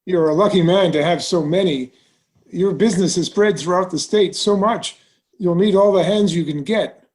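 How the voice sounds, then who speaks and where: Casting: male actor for genial small-town bank president, courteous and measured, slightly high-pitched, table-read delivery. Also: darkish tone, natural voice. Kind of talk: musical theatre table read.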